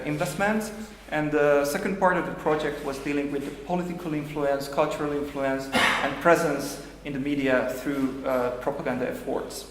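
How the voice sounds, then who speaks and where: A man, a think-tank director moderating a panel, gives formal opening remarks with frequent filler sounds. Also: a little high. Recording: echoey hall, faint noise.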